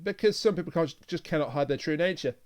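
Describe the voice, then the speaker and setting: A man with a smooth, crisp voice sitting a little high in pitch. He talks casually, as a co-host in a relaxed review discussion. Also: quick pace. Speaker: podcast co-host reviewing audio dramas.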